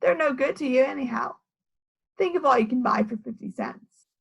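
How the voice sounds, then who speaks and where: Lady reading as a wheedling guy teacher in a kids storybook, persuasive, pretty animated. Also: sits high, normal speed, vocal fry, husky, full character voice. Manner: persuasive, haggling. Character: wheedling male school teacher, teasing haggler.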